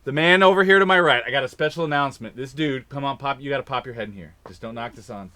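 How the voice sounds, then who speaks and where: A man's voice: mid-range voice, fast, pushed and bright, dry recording. An upbeat, joking man from Kansas hosting a casual chat in a recording studio. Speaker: host.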